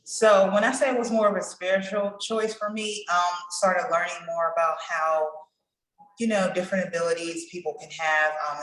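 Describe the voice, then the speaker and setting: Low-voiced woman, roomy and distant. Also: moderate pace. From Pittsburgh, United States. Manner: relaxed, rambling, many fillers. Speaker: interview guest. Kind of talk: casual interview talk. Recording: echoey room, far from the mic.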